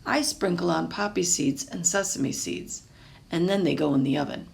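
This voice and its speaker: A woman's voice: lowish voice, normal speed, gravelly. A woman reads a children's picture book aloud expressively, adding small explanatory asides. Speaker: storybook reader, teacher.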